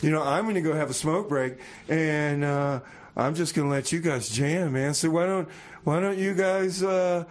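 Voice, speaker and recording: A man hosting a casual live radio interview in a laid-back, halting way, repeating his phrases. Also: fairly high pitch. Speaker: community radio host. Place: radio studio.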